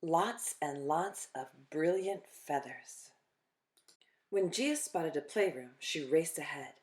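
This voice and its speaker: Slow-paced woman reading a storybook aloud. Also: sits low, lively intonation. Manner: deliberate, dramatic pauses between phrases. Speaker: children's storytime reader. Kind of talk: expressive picture-book read-aloud.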